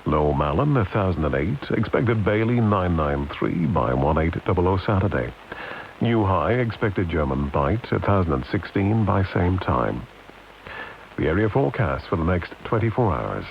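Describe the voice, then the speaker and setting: A British man formally reading a scripted weather bulletin as a radio announcer, in measured, deliberate, list-like phrases, over long-wave radio. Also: sits low, big pitch swings, vocal fry. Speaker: radio continuity announcer reading shipping forecast. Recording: hissy, muffled long-wave radio broadcast.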